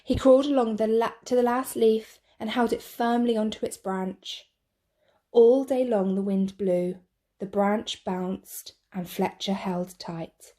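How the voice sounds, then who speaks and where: A woman reading a picture book to children in an expressive, measured storytelling style. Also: medium pitch. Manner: measured, deliberate phrasing.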